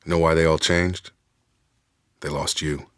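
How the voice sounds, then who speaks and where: An actor playing a loyal, guarded soldier in a video game, speaking in frank, clipped, informal military talk. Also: male voice, a bass voice, little pitch movement, unhurried, fairly bright tone, clear, resonant tone, no room sound, studio quality. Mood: sober. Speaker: soldier squadmate. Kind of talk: video game character dialogue.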